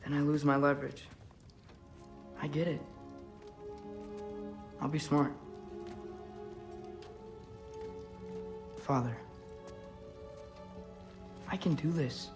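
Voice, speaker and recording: A man's voice: on the high side, steady tone, slow, gravelly, pauses often, music in the background. An actor playing an earnest, determined teenage boy in a video game, pleading and reasoning with youthful conviction. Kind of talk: dramatic video game acting.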